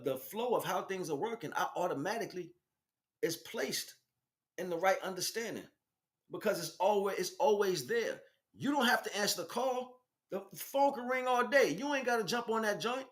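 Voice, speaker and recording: A man's voice: high-pitched, lively intonation, moderate pace, roomy sound. An American man giving an informal spiritual monologue in streetwise slang, speaking emphatically and with repetition. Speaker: spiritual teacher and stream host.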